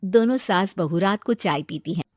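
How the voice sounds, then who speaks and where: A woman reading scripted narration for an animated moral story. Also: medium pitch, normal speed, dry recording, clean studio sound. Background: music.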